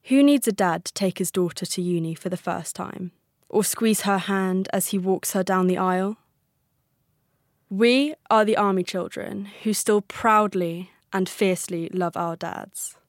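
A young woman recites a poem she wrote. Her voice sits at a medium pitch, and she reads in a spoken-word style. The recording is close and dry. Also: unhurried, dry recording. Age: young adult. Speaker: poet reading own poem. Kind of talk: poetry recital.

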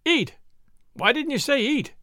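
A man's voice: very high-pitched, animated, bright, forceful tone, no room sound, clean studio sound, pitched above the performer's own voice, heavily acted. A man voicing a teasing, exuberant male friend in an audiobook, needling in a mock-grandiose way. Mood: playful, teasing. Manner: mock-grandiose, needling, rapid-fire exclamations. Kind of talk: audiobook character dialogue.

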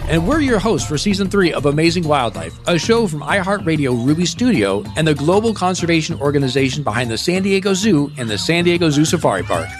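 American podcast host guy reading a promo, bouncy highish voice, music under it. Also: sing-song, fast, few pauses.

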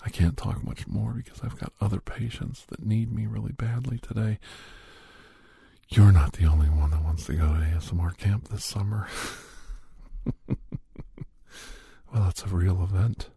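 Breathy, dark-toned man whispering. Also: on the low side, a little slow, long pauses, no echo. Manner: soft, whispery, chatty and gently teasing. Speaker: ASMR roleplay host playing a caregiver. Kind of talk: whispered ASMR roleplay chat. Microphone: close microphone.

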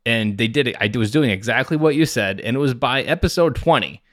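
A man's voice: medium pitch, lively intonation, a little fast, fairly bright tone, no room sound, studio quality. A man hosting a podcast, talking casually and earnestly about his own experience. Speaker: podcast host.

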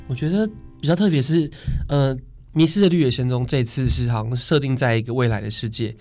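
A man's voice: medium pitch, brisk. A young man with a Taiwanese Mandarin accent, giving casual, somewhat tentative answers as an interview guest. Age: young adult. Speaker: guest, singer and stage actor. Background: music playing behind the talk.

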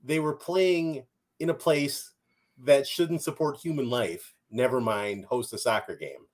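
A man's voice: mid-range voice, a little slow. A man from Minnesota with a Minnesotan accent, co-hosting a casual soccer chat with wry jokes. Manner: wry, joking, animated. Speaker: podcast co-host.

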